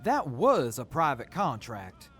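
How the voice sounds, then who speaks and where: A man's voice: mid-range voice, huge pitch swings, takes it slowly, a bit bright, slightly rough, soft background music, exaggerated voice. A man acting in an audio drama as a polite, earnest crew member who explains things carefully. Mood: explanatory.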